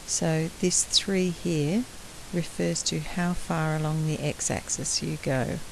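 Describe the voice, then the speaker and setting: A woman teacher with a croaky voice gives a patient maths lesson recorded at home on a laptop, clearing her throat now and then. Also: deep voice, a little mellow, slight background noise, rough recording. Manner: slow, patient explaining, with frequent clearing of the throat.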